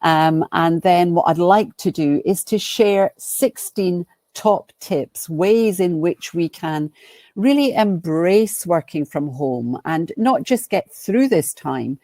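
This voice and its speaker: A low-pitched woman with a Glaswegian Scottish accent speaks on a webinar. She is friendly and chatty, dropping in ums and restarting sentences. The sound is dry and close, picked up by a computer mic on a video call. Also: lively intonation, slow, dark tone, dry recording. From Scotland. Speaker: guest speaker and business coach. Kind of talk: webinar presentation.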